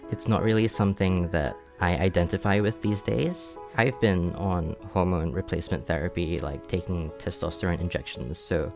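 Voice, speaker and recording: A young person in their twenties from Singapore, telling their life story in a relaxed, casual way with many "like"s and restarts. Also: male voice, low-pitched. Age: 20s. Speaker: interview guest.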